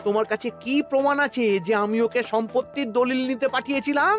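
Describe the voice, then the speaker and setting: A woman's voice: sits high, fairly even intonation, fast talker, highs cut off, over music, natural voice. A woman playing a greedy, pompous male royal minister in a cartoon, with a blustering manner. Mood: blustering, indignant. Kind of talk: cartoon dialogue.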